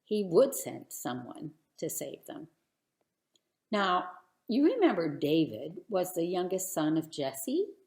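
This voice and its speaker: A woman telling a children's bedtime story in an expressive, theatrical and deliberate way. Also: on the low side, roomy sound. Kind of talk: dramatic bedtime story narration.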